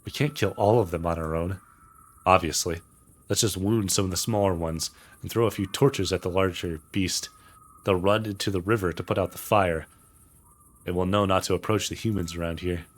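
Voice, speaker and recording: A man's voice: sits low, steady tone, moderate pace. A man voicing a practical, blunt, teasing male hunter in a visual novel, speaking matter-of-factly. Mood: practical, confident. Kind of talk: visual novel character read aloud.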